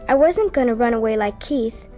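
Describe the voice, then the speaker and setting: Woman acting high-voiced, earnest little girl in radio drama. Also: animated, brisk, mellow, faint music behind, close to an everyday voice. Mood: wistful narration. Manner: earnest and questioning. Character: young daughter, sensitive, questioning, stubborn little girl.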